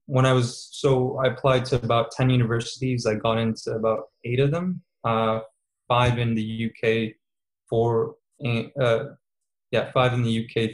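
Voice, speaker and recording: A man's voice: mid-range voice, rough recording. Young man, chatty interview guest. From Serbia, raised in Qatar. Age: 20s. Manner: relaxed, many fillers, laughs easily. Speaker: guest, engineering student. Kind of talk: casual interview chat.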